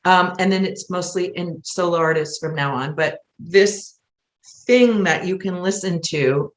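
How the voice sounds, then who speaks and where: Low-voiced middle-aged woman in echoey room. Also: unhurried. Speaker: interview guest, theatre creator. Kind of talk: reflective casual conversation.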